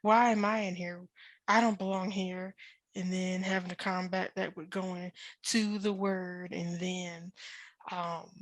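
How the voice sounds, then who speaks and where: A woman's voice: lowish voice, dry recording. A woman in her thirties giving a personal testimony as an interview guest, emphatic and full of faith. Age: 30s.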